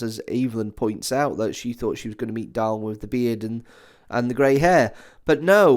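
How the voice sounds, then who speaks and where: A man presents an enthusiastic review in a conversational voice of medium pitch. He is chatty and emphatic, speaking as a host giving his own opinions. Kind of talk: enthusiastic audio drama review.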